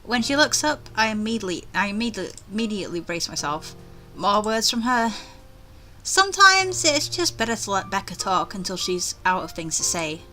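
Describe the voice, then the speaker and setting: Bright-voiced young woman gaming. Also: medium pitch, very animated, a little fast, faint music behind. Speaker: let's play host. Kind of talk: casual game commentary and reading game text aloud.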